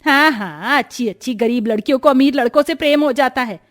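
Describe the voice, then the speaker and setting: High-pitched older mother-in-law, played by a woman, imperious, scornful, scolding, taunting, family moral-story drama. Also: a little fast, close to an everyday voice. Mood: angry, scornful. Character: domineering, scornful older mother-in-law, sweet only to favourite daughter-in-law.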